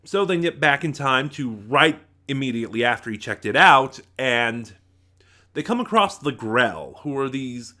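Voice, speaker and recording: A man giving an informal spoken review as a video host, often halting and rephrasing himself as he thinks aloud. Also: medium pitch, pushed and bright.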